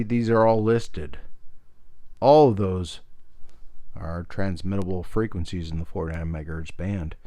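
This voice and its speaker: A middle-aged American man with a fairly low voice presents a hobby video. He rambles informally between explanation and anecdote, with a self-deprecating touch. The sound is dry, with very little room to it. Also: no room sound.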